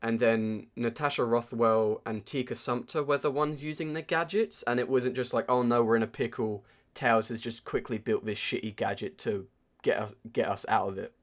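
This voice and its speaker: A man's voice: mid-range voice, steady tone, normal speed. A man co-hosting a podcast, reviewing films in a casual, informal way as he thinks aloud. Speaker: podcast co-host. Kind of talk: casual film review chat.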